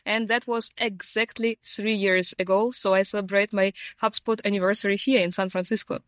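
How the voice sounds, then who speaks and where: A woman from Ukraine, answering interview questions on a rooftop in a friendly way, pausing with fillers. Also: mid-range voice, steady tone, a bit bright, no room sound. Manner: friendly, pauses with fillers, laughs easily. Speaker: sales team lead, interview guest. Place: sunny rooftop at a conference.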